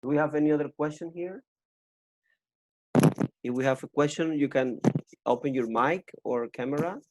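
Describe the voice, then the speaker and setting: A man's voice: fairly high pitch, moderate pace, noisy background. A man moderating an online session informally, often repeating his phrases. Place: online video call.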